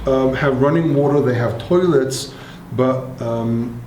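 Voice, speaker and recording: A man in his thirties with an Israeli accent, explaining things in a relaxed, hesitant way with many fillers. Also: medium pitch, slow pace, slight background noise. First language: Hebrew. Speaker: interviewee. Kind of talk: casual explanatory talk. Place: bomb shelter in an apartment building. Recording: echoey concrete room.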